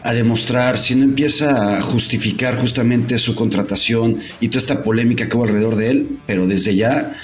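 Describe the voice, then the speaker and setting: A man's voice: medium pitch, fairly even intonation, fairly quick, hardly any pauses. A Mexican man on a sports podcast, bantering about football in a brash, slangy way and swearing freely. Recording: roomy echo.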